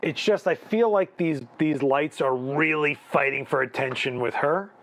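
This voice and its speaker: A man's voice: fairly high pitch, unhurried, fairly bright tone, a little hiss or hum. A man hosting a live photo critique, chatting casually, thinking aloud with restarts and asking "right?", his voice a bit worn. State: losing his voice. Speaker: photography livestream host.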